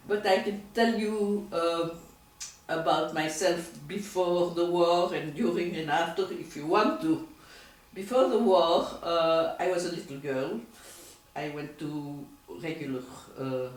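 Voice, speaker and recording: Slow, echoey older woman remembering. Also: fairly low pitch. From Belgium. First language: French. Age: 80s. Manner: unhurried, with frequent hesitations and restarts. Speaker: oral history interviewee. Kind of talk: reminiscing in a recorded interview. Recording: echoey room, mic far off.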